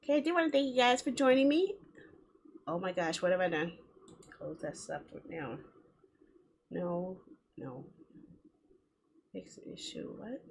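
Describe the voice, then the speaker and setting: A woman's voice: lowish voice, expressive, measured pace, long pauses, a little hiss or hum. A woman streaming a game, chatting casually and reading story text aloud in a playful way. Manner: giggly, playful, chattering to herself. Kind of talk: casual livestream chat with visual novel read-alouds.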